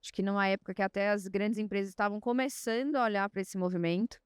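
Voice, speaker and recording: A woman's voice: mid-range voice, steady tone, quick pace, clear, resonant tone, dry recording, studio quality. A woman from Salvador with a Bahian accent, speaking as a panelist and telling her career story fluently and confidently. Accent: Bahian Portuguese. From Salvador, Bahia, Brazil. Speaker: panelist, innovation executive. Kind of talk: panel talk.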